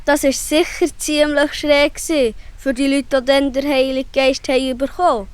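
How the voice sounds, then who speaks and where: A woman's voice: sits high, level tone, moderate pace, no room sound, natural voice. A woman playing a mischievous, good-humoured young male animal in a children's audio story, teasing and childlike, with a Swiss German accent. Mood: amused.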